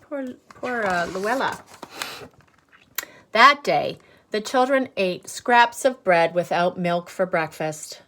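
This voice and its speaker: A woman reading a picture book aloud in an expressive, teacherly way, pausing to put asides and questions to young listeners. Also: medium pitch. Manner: animated, teacherly, pauses for questions.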